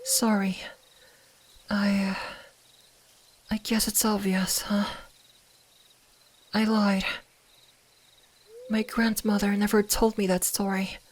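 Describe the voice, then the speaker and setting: Soft, slow, gentle fox-spirit girl acted by a woman. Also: medium pitch, flat delivery, a little hiss or hum, close to an everyday voice. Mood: tender, lonely. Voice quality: soft, breathy, half-whispered. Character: gentle, lonely young female kitsune fox spirit. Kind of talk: ASMR roleplay, soft bedtime storytelling.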